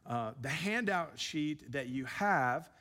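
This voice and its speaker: A man who is a pastor, giving an evening church teaching with a relaxed, self-deprecating manner, many fillers. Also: on the high side, slow pace. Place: church sanctuary. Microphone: handheld microphone.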